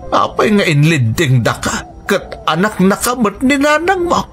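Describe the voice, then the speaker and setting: A man's voice: high voice, wildly swooping pitch, unhurried, fairly bright tone, soft background music, heavily acted. A man with an Ilocano accent playing an indignant, protective, plaintive elderly grandparent in a radio drama.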